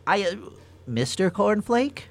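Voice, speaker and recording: A meek, flustered rabbit boss in a comic radio play played by a man, with pitch that leaps about wildly. He stammers and apologizes, nervous and befuddled. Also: big pitch swings, a little slow. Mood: flustered eagerness.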